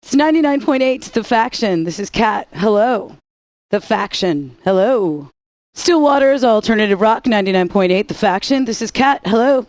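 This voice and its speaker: A woman's voice: mid-range voice, expressive, moderate pace, darkish tone, dry recording, lightly acted. A woman playing a breezy female rock radio DJ, reading scripted station lines with a wry, playful announcer delivery.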